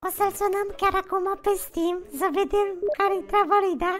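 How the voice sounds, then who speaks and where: Man as a very high-pitched girly gamer. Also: level tone, a bit bright. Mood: friendly, coy. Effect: voice changer raising pitch to female. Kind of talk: casual prank call chat and hosting. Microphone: close desk microphone. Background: music.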